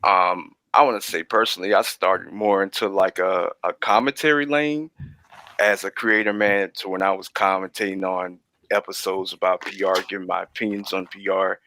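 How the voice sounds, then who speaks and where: A man's voice: sits low, fairly even intonation, normal speed, bright, forceful tone. A man speaking as an interview guest, explaining his creative work in a casual, rambling, hesitant way.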